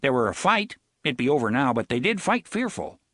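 A man voicing a joking young gunslinger who mimics a rustic drawl, pitched higher and quicker. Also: wildly swooping pitch, bright, forceful tone, breathy edge, dry recording, clean studio sound, full character voice. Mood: cheeky. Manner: playful, put-on rustic accent.

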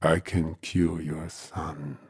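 A sinister, cold sorcerer from a dark storybook. The voice sits extremely low and creeps along very slowly in a husky, menacing near-whisper. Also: male voice, little pitch movement, dry recording, studio quality, heavily acted. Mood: cold bargaining. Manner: slow, drawn-out, menacing.

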